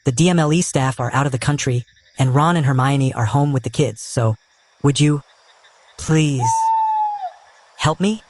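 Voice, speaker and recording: A man's voice: mid-range voice, normal speed, slight background noise, lightly acted. A man playing a cheeky, slightly awkward young wizard who talks informally in a fan audio drama. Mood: awkward pleading. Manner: informal, conversational.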